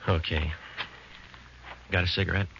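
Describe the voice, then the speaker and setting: An actor playing a steady male police detective, speaking in a clipped, flat, matter-of-fact way in a 1940s radio drama. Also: deep voice, unhurried, creaky, slight background noise. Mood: weary, dry. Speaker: detective and narrator. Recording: dull old radio broadcast with music.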